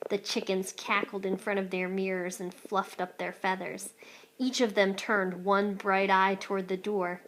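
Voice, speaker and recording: A woman's voice: medium pitch, flat delivery, a little slow, fairly bright tone, rough recording. A woman reading a children's storybook aloud expressively, like a teacher.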